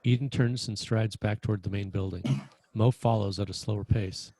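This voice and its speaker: A man's voice: on the low side, fairly even intonation, normal speed, warm tone, no echo. A man narrating scripted screenplay stage directions at a table read in a matter-of-fact way.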